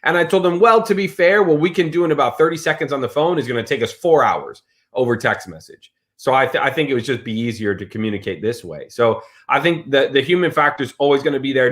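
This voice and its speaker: A man in his thirties in casual chat, telling stories with lots of fillers and lively swings in his voice. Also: medium pitch. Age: 30s. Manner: animated storyteller, many fillers.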